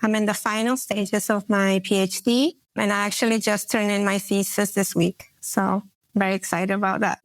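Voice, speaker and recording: A woman's voice: mid-range voice, level tone, moderate pace, few pauses, no echo, rough recording. Earnest woman in her forties, dry sound. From Peru. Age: 40s. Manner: earnest, reflective, with frequent fillers. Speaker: podcast guest. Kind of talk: personal storytelling in an interview.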